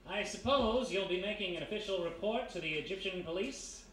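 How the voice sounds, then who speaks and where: In an echoey live room with faint noise, an actor plays a glib radio-drama private eye, a charming former thief. His male voice sits high, and he talks with flirtatious ease. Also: fairly quick, fairly bright tone. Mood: smooth, evasive.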